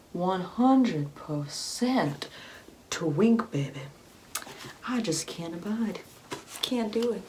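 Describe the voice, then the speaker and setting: A woman playing a judgmental, devout, entitled Karen mother in an ASMR comedy roleplay, preachy and sassy, with whispered asides and drawn-out emphasis. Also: lowish voice, a little mellow, slight background noise.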